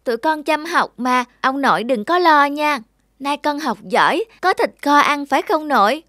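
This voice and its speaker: High-voiced earnest granddaughter. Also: female voice, expressive, normal speed, sharp tone, dry recording, clean studio sound. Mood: eager. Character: earnest, affectionate young granddaughter in a cartoon. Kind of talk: cartoon dubbing. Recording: music behind the voice.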